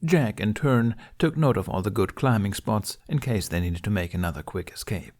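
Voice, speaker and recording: A man's voice: fairly low pitch, moderate pace, a little mellow. A man reading a story aloud as scripted narration. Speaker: podfic reader.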